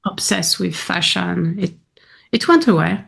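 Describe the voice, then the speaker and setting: Slow, gravelly French woman. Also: lowish voice. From France. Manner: warm, chatty, laughs often, many fillers. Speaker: guest, painter and advocate. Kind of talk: casual interview conversation.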